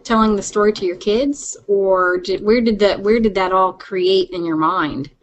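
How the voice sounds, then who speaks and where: A woman's voice: mid-range voice, steady tone, normal speed. A woman hosting a podcast in casual conversation, rambling and inquisitive, rephrasing her questions as she talks. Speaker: podcast host. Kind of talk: casual interview chat.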